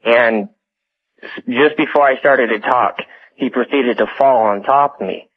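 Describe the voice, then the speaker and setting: Young american guy calling into radio, thin phone sound, telling his story kinda haltingly, medium pitch. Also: a little hiss or hum. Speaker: phone-in caller. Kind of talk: casual personal storytelling. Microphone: telephone. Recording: tinny phone line on radio.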